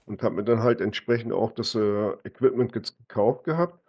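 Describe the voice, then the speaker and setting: A man's voice: fairly low pitch, animated, moderate pace, muffled recording. A man chatting casually with a gaming partner in a conversational way, often ending phrases with a short tag question. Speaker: gaming partner on a live stream. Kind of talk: casual chat while gaming.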